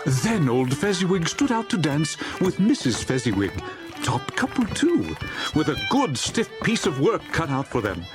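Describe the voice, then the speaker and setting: A man's voice: fairly high pitch, very animated, unhurried. A man narrating a dramatized storybook in a theatrical, jovial manner. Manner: theatrical, jovial, relishing lists. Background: music.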